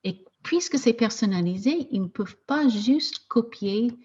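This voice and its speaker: A woman teaching French as a second language, talking casually with slow, hesitant speech and frequent restarts. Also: on the high side, lively intonation, warm tone, slightly rough, dull audio. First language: not French. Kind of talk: casual discussion in a video meeting.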